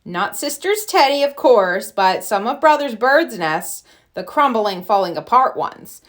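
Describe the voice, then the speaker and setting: A woman narrating a children's storybook aloud in an expressive read-aloud style. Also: on the high side, unhurried. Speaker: storybook reader.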